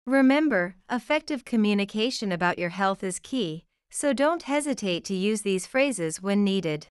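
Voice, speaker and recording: A woman reading an English lesson, saying example phrases slowly and clearly. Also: medium pitch, animated, no echo, studio quality. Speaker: English teacher. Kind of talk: scripted lesson narration.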